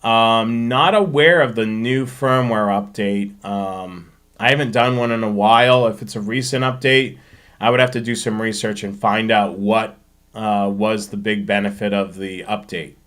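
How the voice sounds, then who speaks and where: A man's voice: lowish voice, steady tone, unhurried, fairly bright tone. A man hosting a live show, chatting informally and explaining things as he answers questions, thinking aloud as he goes. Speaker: host of a railfanning live show. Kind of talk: casual live-stream question and answer chat.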